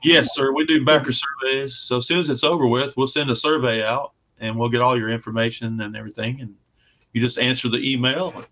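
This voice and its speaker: A man's voice: mid-range voice, fairly even intonation, a little fast, sharp tone, muffled recording. A man speaking as a guest comic creator, chatting casually about his own project.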